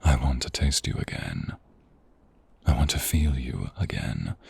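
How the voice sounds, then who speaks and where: Man playing a very deep, husky vampire seducer. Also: sing-song, a little mellow, heavily acted. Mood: seductive, possessive. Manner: slow teasing murmur, often breathy whispers, sighs. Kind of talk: intimate ASMR romance roleplay.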